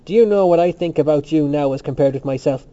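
A man voicing an excitable young male student in a story, eager and insistent as he declaims. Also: a little high, brisk, highs cut off, natural voice. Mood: defiant.

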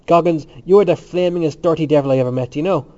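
A man voicing a gruff, scornful male student in a story, speaking vehemently and rudely. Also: mid-range voice, normal speed, dark tone, highs cut off, close to an everyday voice.

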